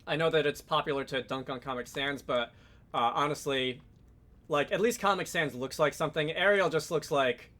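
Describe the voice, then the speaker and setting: A man with a bright, slightly high voice hosts a software tutorial. He talks casually and informally, working through steps aloud with a wry streak. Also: moderate pace. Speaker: tutorial host.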